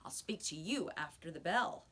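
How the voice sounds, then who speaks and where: A woman voicing a stern but concerned schoolteacher in a children's storybook, speaking in a firm, measured, cautioning way. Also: lowish voice, lively intonation, a bit bright. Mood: hushing, firm. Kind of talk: children's storybook read-aloud.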